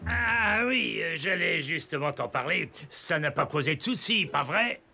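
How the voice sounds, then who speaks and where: A man playing a gruff, blustery old bearded pirate captain with a gravelly voice in a children's cartoon. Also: on the high side, expressive, unhurried, bright, forceful tone, faint music behind, exaggerated voice. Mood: flustered. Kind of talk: animated children's cartoon dialogue.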